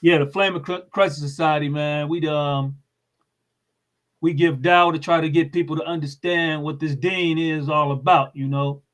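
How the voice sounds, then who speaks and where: Higher-voiced American man explaining casually. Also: steady tone, normal speed, a bit bright. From United States. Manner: informal, plain-spoken explaining. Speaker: guest.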